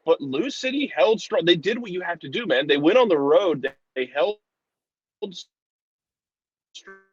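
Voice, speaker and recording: A man's voice: high-pitched, expressive, unhurried, breathy edge, dry recording. Man chatting soccer, slightly muffled dry sound. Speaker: soccer show commentator. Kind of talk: casual sports analysis chat.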